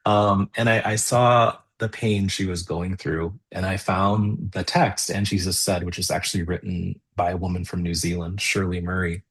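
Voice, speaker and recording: A middle-aged man speaking as an interview guest, thoughtful and easy to laugh, animated when explaining. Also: deep voice, moderate pace, hardly any pauses, husky. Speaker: guest, composer and theology professor. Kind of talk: conversational interview.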